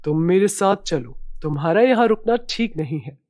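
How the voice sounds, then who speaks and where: A woman voicing a commanding, persuasive male king in an animated fairy tale, with a regal manner. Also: fairly low pitch, moderate pace, voice pushed down, close to an everyday voice. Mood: concerned, coaxing.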